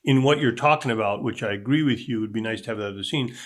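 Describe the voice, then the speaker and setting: A man's voice: lowish voice, fast talker. A man in an informal discussion, often repeating words as he gets a thought started. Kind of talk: casual panel discussion.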